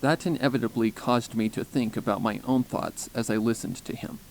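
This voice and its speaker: A man narrates a first-person story from a script. His voice sits at a middle pitch, and there is faint background noise in the recording. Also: fairly even intonation, fairly quick, rough recording. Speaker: fan fiction narrator.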